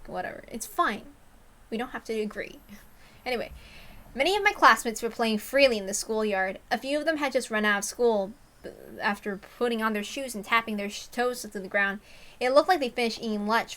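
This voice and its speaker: A woman narrating a visual novel aloud in an expressive style, with casual asides. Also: on the high side.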